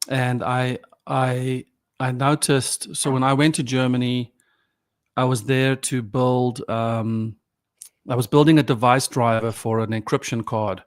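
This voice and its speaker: A man's voice: mid-range voice, flat delivery. A man from South Africa, native Afrikaans speaker, in his forties or fifties, a podcast guest talking casually and reflectively with many restarts. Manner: reflective, halting with frequent restarts. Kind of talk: casual conversation.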